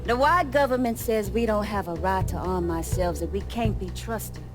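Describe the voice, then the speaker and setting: A woman acting as a grave, determined leader of an underground resistance in a game drama. She speaks in measured, rhetorical phrases at a medium pitch, with loud music behind her. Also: close to an everyday voice. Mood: grave, persuasive. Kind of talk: dramatic video game dialogue. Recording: dialogue over loud background music.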